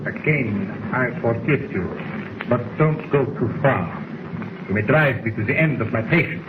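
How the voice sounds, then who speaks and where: An actor playing a cold, smug foreign spy leader with a German accent, menacing and mock-courteous, in 1940s radio drama style. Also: male voice, mid-range voice, lively intonation, a little slow, lightly acted. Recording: scratchy tinny 1940s radio recording, heavy noise.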